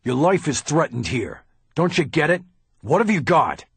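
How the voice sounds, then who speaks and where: A man voicing a gruff, tough middle-aged police detective who talks bluntly and curses. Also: lowish voice, big pitch swings, moderate pace, dry recording, exaggerated voice. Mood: angry. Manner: blunt, curses, barks orders. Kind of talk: audiobook character reading.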